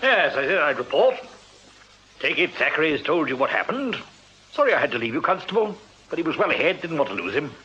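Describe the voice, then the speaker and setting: A pompous old-school British major, bright and forceful, with pitch that swoops widely. He speaks in clipped, blustering bursts, as in a period radio drama. The recording is a little dull and noisy. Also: very animated, fast. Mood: brisk reporting. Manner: clipped, blustering, telegraphic phrasing. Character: brisk, pompous retired army major turned investigator.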